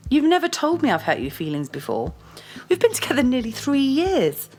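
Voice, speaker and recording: A woman's voice: high-pitched, wildly swooping pitch, moderate pace, a little mellow, a little hiss or hum. An actress playing a blunt, domineering, self-assured girlfriend who scolds and swears in an audio drama. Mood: pressing, indignant. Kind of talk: dramatic audio play dialogue.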